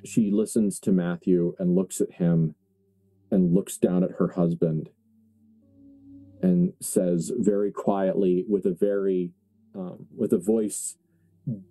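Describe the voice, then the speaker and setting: A low-pitched man with a very dark, mellow voice runs a tabletop horror game. He narrates slowly and deliberately, often halting to search for words, with faint music underneath. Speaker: game master.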